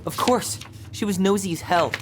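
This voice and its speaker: An actor playing a blunt, wounded young American man in a video game, with a low-key sardonic way of talking. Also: normal speed, a little hiss or hum, close to an everyday voice. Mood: agitated. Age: young adult. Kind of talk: naturalistic dramatic game acting.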